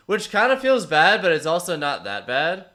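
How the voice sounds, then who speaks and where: A man with a stuffy, congested voice from a cold, chatting casually with his stream viewers and reading out their messages in a relaxed, rambling way. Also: a little high. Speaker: streamer analyzing tournament matches.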